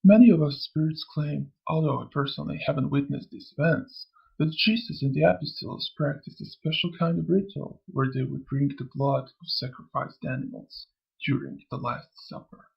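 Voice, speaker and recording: A man voicing a sly, amused old spirit in a motion comic, lecturing theatrically in a gravelly, husky voice. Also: on the high side, normal speed, lo-fi sound. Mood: storytelling, measured. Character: sly, amused old male spirit who preaches and taunts. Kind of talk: scripted motion comic dialogue.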